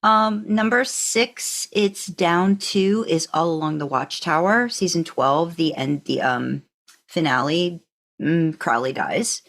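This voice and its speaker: Low-pitched woman in casual fan-podcast chat. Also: takes it slowly, fairly bright tone. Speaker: podcast co-host.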